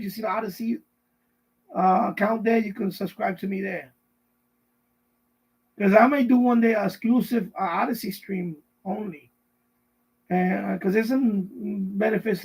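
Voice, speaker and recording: Middle-aged male streamer, high raspy voice, casual rambling chat with viewers, loops back over his words. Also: rough recording. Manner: rambling, repetitive, answering viewers' messages. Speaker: live stream host. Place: basement at home.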